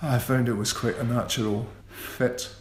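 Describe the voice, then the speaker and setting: A middle-aged man guesting in a radio interview, talking in an unhurried, self-deprecating and wry way. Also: mid-range voice, steady tone, a little hiss or hum. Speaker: guitarist guest. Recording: roomy echo.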